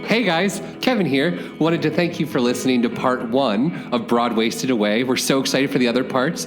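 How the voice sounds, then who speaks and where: A man who hosts a podcast, reading a sign-off announcement to listeners. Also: mid-range voice. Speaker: podcast host.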